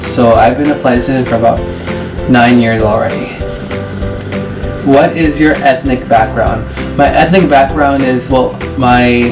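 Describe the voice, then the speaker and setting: Man in his twenties answering viewer questions. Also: medium pitch. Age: 20s. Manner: chatty, explains step by step. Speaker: vlogger and flight attendant. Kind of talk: casual Q&A vlog. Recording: distant mic with loud music behind. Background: music.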